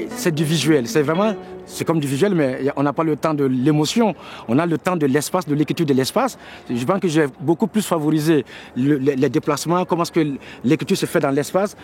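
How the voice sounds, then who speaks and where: With music clearly playing behind him, a man talks. He is a choreographer reflecting in an interview, doubling back on words while he forms his thought. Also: on the high side, darkish tone. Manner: repeats words as he thinks.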